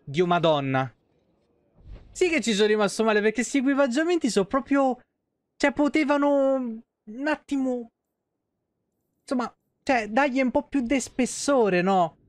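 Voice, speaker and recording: A man's voice: very high-pitched, lively intonation, moderate pace, pauses often, no room sound. A man in his thirties giving casual, slangy, sweary and sarcastic live commentary on a game. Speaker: game streamer. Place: small room at home, indoors.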